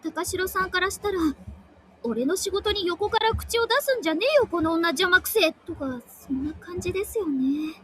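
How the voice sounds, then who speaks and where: A woman's voice: very high voice, fairly bright tone, a little hiss or hum. A woman playing an earnest, eager young stage performer in a Japanese visual novel, speaking politely with breathless eagerness. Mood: dejected.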